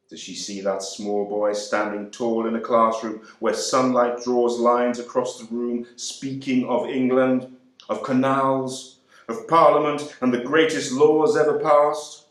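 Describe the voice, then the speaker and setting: Slow, deliberate man in an echoey room. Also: medium pitch, fairly even intonation. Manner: measured, deliberate, emphatic delivery. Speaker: reader performing a monologue. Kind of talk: dramatic literary monologue reading.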